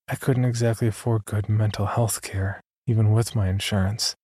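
A man performing scripted first-person horror narration as an uneasy young man, in a measured, confiding way with deliberate pauses. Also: on the low side, fairly even intonation, a little mellow, slightly rough, dry recording, clean studio sound. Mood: reflective, uneasy. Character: uneasy young man recounting his sleep disorder. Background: background music.

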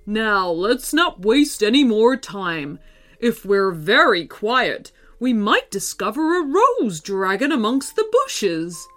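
A woman voicing a kindly old male wizard in a children's story, with theatrical, drawn-out delivery. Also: medium pitch, sing-song, fairly bright tone, soft background music. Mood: delighted, chuckling. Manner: theatrical, exclamatory, drawn-out words.

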